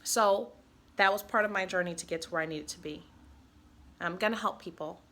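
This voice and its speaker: A woman's voice: on the low side, fairly quick, a bit bright. A woman speaking in a personal vlog, a mother talking bluntly and with passion, swearing freely. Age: adult mother. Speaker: vlogger.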